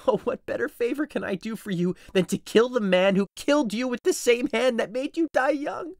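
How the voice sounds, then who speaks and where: A man playing a desperate, grief-stricken young husband in a dramatic audio drama, intense and swinging between hushed menace and anguish. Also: very high-pitched, normal speed, no room sound, clean studio sound. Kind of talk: dramatic audio drama acting, modernized Shakespeare.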